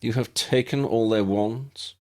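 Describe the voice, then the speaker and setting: A man's voice: medium pitch, pitched above the performer's own voice, natural voice. A man voicing a cold, disdainful, aristocratic wizard father who sneers smugly in a fantasy audiobook. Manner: disdainful, smug, drawn-out delivery.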